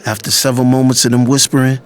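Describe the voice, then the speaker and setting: A man's voice: mid-range voice, flat delivery, fast, smooth, close to an everyday voice. An actor playing a resolute, self-sacrificing younger brother who narrates his story in the first person. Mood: narrating, grave. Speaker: audiobook narrator.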